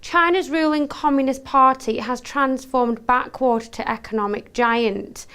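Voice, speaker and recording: Woman, crisp voice, slightly high pitch, TV journalist, formal, carefully read questions. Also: measured pace, fairly bright tone. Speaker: TV journalist, interviewer. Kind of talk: scripted interview questions.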